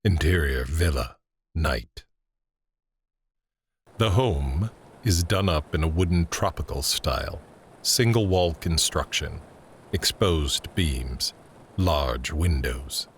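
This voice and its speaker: An extremely low male voice narrates scenes like a screenplay being read out. The delivery is measured and descriptive, with faint ambience behind it. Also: animated, slight background noise. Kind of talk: scripted screenplay-style narration.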